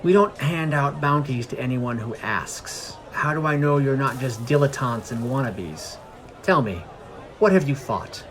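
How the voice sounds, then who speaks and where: A man's voice: medium pitch, expressive, a little slow, a bit bright, a little hiss or hum. Man reading as a blunt, serious guard captain. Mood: stern, challenging. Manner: blunt, matter-of-fact. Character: serious, businesslike forty-year-old human guard captain. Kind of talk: fantasy story dialogue.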